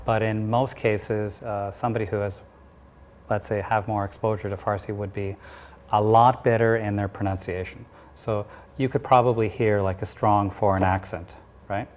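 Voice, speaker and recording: A man's voice: fairly low pitch, steady tone, normal speed, darkish tone. A man answering interview questions as a language expert, checking in with his listener often as he explains. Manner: checks in often with "right?" and "okay?". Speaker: interview guest, applied linguistics expert.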